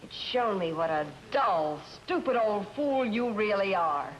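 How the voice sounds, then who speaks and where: A woman's voice: mid-range voice, expressive, unhurried, lo-fi sound, slight background noise, natural voice. A woman as a scornful, snapping American wife. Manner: sarcastic, blunt, snapping. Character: bored, scornful, sharp-tongued wife stuck abroad. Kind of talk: old-time radio drama acting.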